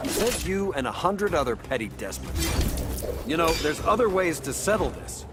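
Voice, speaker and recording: Wisecracking hero, lively male voice. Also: on the high side, normal speed, heavy background noise. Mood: wry. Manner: glib, joking, casual. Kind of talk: video game banter.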